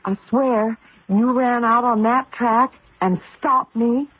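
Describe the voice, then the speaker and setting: An actress playing an affectionate, shaken woman race driver in a radio mystery drama, earnest and halting. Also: a little high, fairly even intonation. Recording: noisy narrow-band old radio recording.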